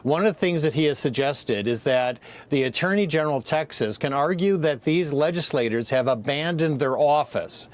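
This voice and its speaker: A man giving measured political analysis as a news commentator, explaining step by step. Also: a little high, animated.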